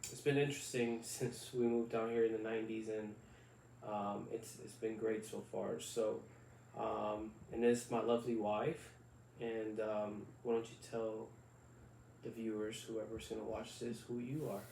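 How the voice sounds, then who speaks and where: A man in his thirties from Puerto Rico co-hosting a casual podcast, talking haltingly with many fillers and restarts. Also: on the low side, flat delivery, gravelly, a little mellow. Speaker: podcast co-host. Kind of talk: casual chat. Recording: echoey room, mic at a distance, faint noise.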